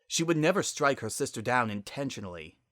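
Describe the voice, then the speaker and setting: A man's voice: mid-range voice, sing-song, brisk, a bit bright, no room sound, studio quality. A man narrating a story in a dramatic, scripted reading style.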